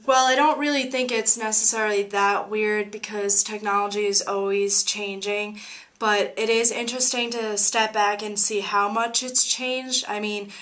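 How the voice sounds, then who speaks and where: A woman's voice: medium pitch, pushed and bright. A nineteen-year-old woman, a college freshman, giving thoughtful, fluent interview answers. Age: teens. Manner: thoughtful, fluent, uses like and I mean.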